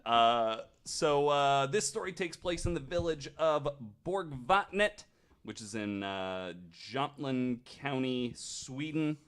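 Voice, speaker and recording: A man hosting a podcast, telling a story to friends in a halting, informal, conversational way. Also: mid-range voice, expressive, fairly bright tone, clear, resonant tone. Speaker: podcast host. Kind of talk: casual storytelling among friends.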